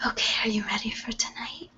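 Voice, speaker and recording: A woman voicing a gentle retreat healer in a whispered ASMR roleplay, soft and reassuring. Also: on the high side, a little fast, lo-fi sound. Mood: soothing, hushed. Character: gentle, soothing female retreat healer attending a client.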